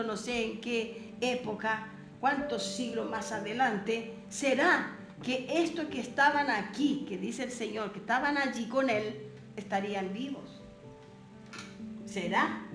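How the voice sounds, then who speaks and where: A woman's voice: a little high, reverberant. A woman whose first language is Portuguese, teaching a Bible seminar lesson, reading verses aloud and asking rhetorical questions.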